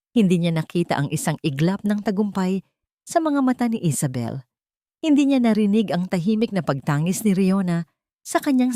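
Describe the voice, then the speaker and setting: A woman's voice: fairly low pitch, dark tone, no room sound, clean studio sound. A woman reading a scripted romantic drama story as a narrator.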